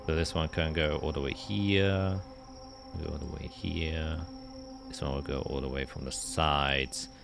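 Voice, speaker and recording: A man's voice: low voice, gravelly, bright, forceful tone. A man narrating a tabletop roleplaying game as game master, describing scenes and calling out dice results.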